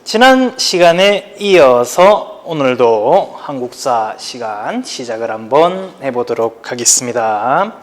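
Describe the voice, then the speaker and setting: A man with a Daegu accent, teaching a high school history class online in a relaxed, chatty way. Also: slow pace, few pauses. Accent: Gyeongsang (Daegu) Korean. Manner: chatty, informal, talks to students directly. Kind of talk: casual online lecture.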